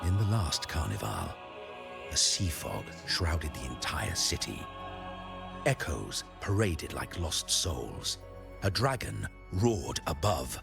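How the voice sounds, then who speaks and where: An actor playing a pious, lofty high-ranking cleric in a video game, formal, measured and preachy. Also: male voice, vocal fry, full character voice. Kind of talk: theatrical video game voice acting. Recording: music behind the voice.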